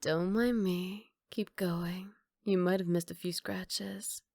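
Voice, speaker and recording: A woman playing a smug, flirty captured villain in an ASMR roleplay, teasing and coy with breathy murmurs. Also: lowish voice, steady tone, takes it slowly, a little mellow, dry recording, clean studio sound, exaggerated voice. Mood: flirty, amused.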